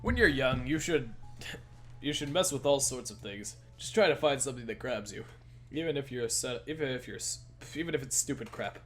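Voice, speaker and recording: A deadpan young man giving matter-of-fact advice, as a man reads him in his own voice. He sounds dry and unhurried. There is faint music behind. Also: medium pitch, natural voice. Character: deadpan young man protagonist, dryly lecturing.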